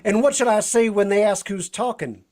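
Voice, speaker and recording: An actor playing a jovial, folksy bodyguard sidekick in a vintage radio drama, talking in an amused drawl. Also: male voice, sits high, normal speed, darkish tone, natural voice. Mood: marvelling.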